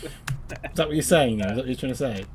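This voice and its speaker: A man's voice: medium pitch, animated, rapid-fire, slight background noise. A man hosting a gameplay video with casual, dry, joking banter. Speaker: gameplay host. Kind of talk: casual co-op commentary on a video game.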